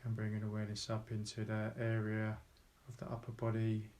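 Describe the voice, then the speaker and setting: Low, even-toned man guiding meditation. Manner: calm, unhurried, pausing between short phrases. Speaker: meditation guide. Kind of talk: guided meditation, slow soft instruction.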